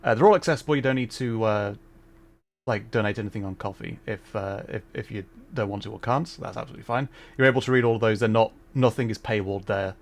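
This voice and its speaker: A man's voice: fairly low pitch, fast talker. A young man streaming a video game, chatting casually and rambling with plenty of hesitation. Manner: rambling, hesitant, many fillers. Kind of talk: casual gaming livestream chat.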